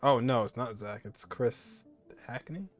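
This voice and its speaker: Male streamer, mid-range voice, casual game reactions, soft music in back. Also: mid-range voice, a little slow. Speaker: gaming streamer. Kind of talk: casual reaction commentary over a video game.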